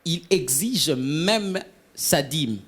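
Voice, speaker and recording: A man's voice: on the high side, expressive, drawn-out words, husky. A man leading a church discussion on mentoring, asking questions and adding examples in a hesitant way with many fillers. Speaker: interviewer in a church talk.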